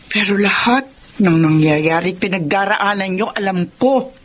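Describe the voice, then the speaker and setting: A woman's voice: mid-range voice, animated, normal speed, a little hiss or hum, close to an everyday voice. A woman playing a self-sacrificing middle-aged career mother in a Filipino radio drama. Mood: earnest, pleading. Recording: music bed behind the voice.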